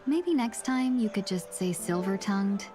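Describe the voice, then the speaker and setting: A woman voicing a graceful, mysterious fox-eared woman in a video game, speaking softly and courteously. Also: medium pitch, animated, music in the background, close to an everyday voice. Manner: soft, courteous and measured.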